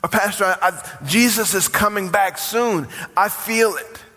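Bright, high-voiced preaching pastor. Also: male voice, a little slow. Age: 40s. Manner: preaching with rising emphasis and call-and-response. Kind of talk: sermon. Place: church sanctuary. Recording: long hall reverb.

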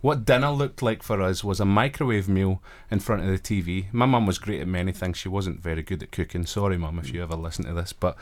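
A Scottish man from Glasgow with a strong Glaswegian accent and a fairly low voice talks about growing up. He is earnest and open, with flashes of self-deprecating humor. He was recorded in a radio studio. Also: fast talker, few pauses. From Scotland. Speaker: guest, college chaplain. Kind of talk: conversational interview storytelling.

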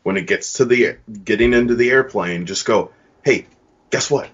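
Husky dry-witted man in his thirties. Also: medium pitch, moderate pace, a bit bright, highs cut off. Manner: dry, sarcastic. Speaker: podcast co-host. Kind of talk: casual chat.

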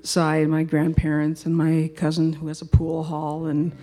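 A woman's voice: low-pitched, monotone, measured pace, warm tone, soft background music. A middle-aged woman singer-songwriter introducing a song in casual, rambling, reflective stage talk.

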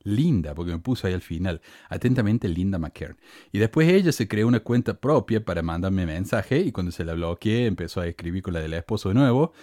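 A middle-aged man with a Cordoba Argentine accent speaks in a dry, close-miked sound. His pitch swings widely as he gets sarcastic and indignant, with sharp emphatic peaks. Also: expressive, fairly quick, no room sound. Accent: Cordoba Argentine Spanish. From Córdoba, Argentina. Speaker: channel host. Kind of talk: casual monologue to camera.

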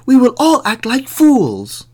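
Man voicing high, eager, foolish townsfolk. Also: wildly swooping pitch, slow, a bit bright, full character voice. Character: foolish, eager male townsfolk of a folk tale.

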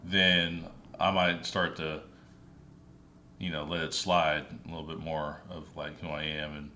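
Bright-voiced man in casual chat. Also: extremely low pitch, little pitch movement, slight background noise. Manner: thoughtful, halting, many fillers. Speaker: podcast co-host. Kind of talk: casual conversation.

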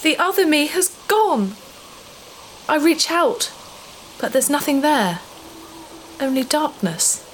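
A woman playing a frightened, childlike alien in a sci-fi audio drama, speaking in simple, halting, naive phrases. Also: high voice, sing-song, a little hiss or hum, exaggerated voice. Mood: lonely, frightened. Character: childlike, frightened alien companion, speaks of itself in third person.

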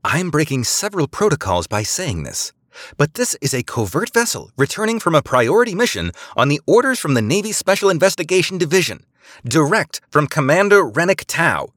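Man doing a high commanding woman spy, imperious, barking orders, dry close sound. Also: big pitch swings, moderate pace, a bit bright, no echo. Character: commanding woman spy bluffing over comms.

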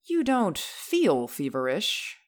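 Sweet young woman done by a guy reading a book, high sing-song voice, slow and swoopy. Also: huge pitch swings, heavily acted. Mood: fond. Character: young woman, affectionate then furious. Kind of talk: audiobook character voice.

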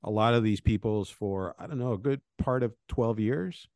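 A man's voice: fairly low pitch, normal speed, a little mellow, no room sound. A middle-aged man from Venezuela and Colombia, telling how he founded a game studio, in a rambling style with many restarts and "right?" tags. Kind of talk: casual interview talk.

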